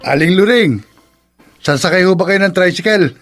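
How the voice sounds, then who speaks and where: An actor playing a cheeky, good-natured tricycle driver in a Philippine radio drama, Filipino accent, respectful but teasing. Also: male voice, high voice, moderate pace, soft background music, close to an everyday voice.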